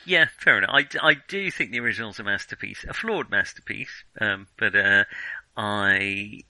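A man's voice: on the low side, normal speed, bright, forceful tone, no room sound, low-quality recording. A man co-hosting a podcast in casual film discussion, speaking informally with a wry, qualifying manner. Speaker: podcast co-host.

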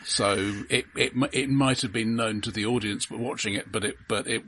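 A man co-hosting a film podcast, explaining his points casually and analytically in a halting way. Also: mid-range voice, steady tone, sharp tone, slight background noise.

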